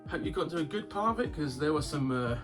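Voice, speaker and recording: Brief: male vlogger, a little high-pitched, sore throat, cough sweet muffling his speech, rambling and wry, guitar music playing behind him. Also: animated, fast, fairly bright tone. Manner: rambling, wry, chuckles often. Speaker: YouTube game collector host. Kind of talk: casual chat vlog. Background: background guitar music.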